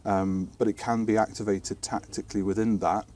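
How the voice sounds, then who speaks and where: A man's voice: lowish voice, flat delivery, moderate pace, darkish tone. A British man chatting informally as he explains technical air traffic control work, hesitating and restarting words as he goes. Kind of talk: informal explanatory interview chat. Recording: distant mic, faint background noise and ambience.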